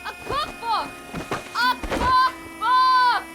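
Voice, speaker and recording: An actress playing a desperate, pleading American woman in a radio drama, urgent and halting. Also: extremely high pitch, fairly even intonation, bright, forceful tone, faint music behind, full character voice, rough recording. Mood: horrified.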